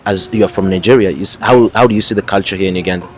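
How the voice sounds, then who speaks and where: A man's voice: deep voice, rapid-fire. A man hosting a travel interview, asking friendly questions with short affirmations. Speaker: travel vlogger host, interviewer.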